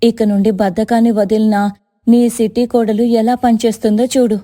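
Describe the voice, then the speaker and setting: A warm, dark-toned voice of an earnest village family woman, acted by a woman for a cartoon moral story. Her delivery stays fairly flat in pitch and humble in manner. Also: normal speed, lightly acted. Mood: remorseful. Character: humble women of a village tailoring family, mother-in-law and daughter-in-law. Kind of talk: animated moral story dialogue.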